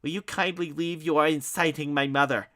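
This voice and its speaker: A man's voice: on the high side, brisk, sharp tone, voice pushed up. A man voicing a pompous, fussy grown man who speaks in haughty, overly formal sentences.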